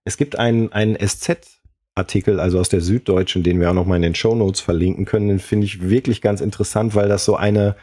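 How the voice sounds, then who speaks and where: A man's voice: on the low side, fairly even intonation, brisk, warm tone. A man co-hosting a podcast, chatting casually and often correcting himself as he explains. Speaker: podcast co-host.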